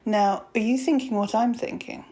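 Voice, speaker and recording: A woman playing a composed, caring and wry academic doctor in an audio drama, speaking in a proper, measured, teasing way. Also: on the high side, fairly even intonation, mellow, close to an everyday voice. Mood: wry, calm.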